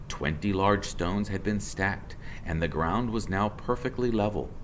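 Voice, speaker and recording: A man's voice: deep voice, measured pace, slight background noise. A man narrating a children's storybook aloud in the role of a teacher.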